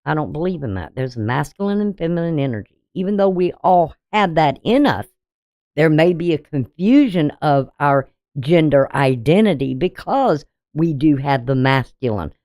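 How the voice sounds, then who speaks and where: Deep-voiced woman host, blunt chatty astrology reading, low pitch, dry close sound. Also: animated, moderate pace, a little mellow, no echo. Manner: blunt, conversational, frequent "you know". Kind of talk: casual daily astrology reading.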